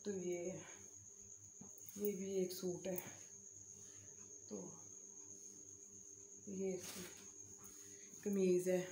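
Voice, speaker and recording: A woman's voice: on the low side, little pitch movement, drawn-out words, pauses often, mellow. A middle-aged Pakistani woman chatting casually for a home vlog in a homely, repetitive way with pious phrasing. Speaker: vlogger. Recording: roomy echo, faint background hiss.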